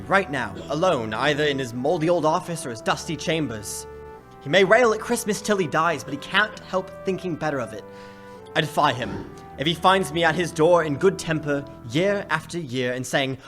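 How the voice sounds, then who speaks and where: A cheerful young party host, jovial and laughing, with a bright, forceful voice that leaps around as he talks, played by a man. Soft music sits behind this live church radio play. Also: a little high, very animated, normal speed. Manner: jovial, laughing, warm-hearted.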